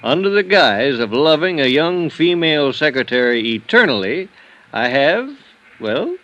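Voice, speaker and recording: An American man acting a self-loathing, boozy architect in an old-time radio drama, confessing in a rambling, halting, self-mocking way. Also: a little high, big pitch swings, fairly bright tone, muffled recording. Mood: rueful, self-mocking. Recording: old radio recording, faint noise.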